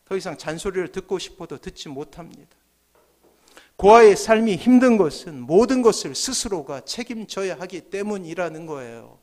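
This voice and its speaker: The man at the pulpit on a Sunday morning, pitching his voice high over the pews, dropping it low for effect and then pressing each point home. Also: expressive, normal speed, a little mellow. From South Korea. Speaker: pastor. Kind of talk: sermon. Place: church sanctuary, at the pulpit. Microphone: gooseneck podium microphones.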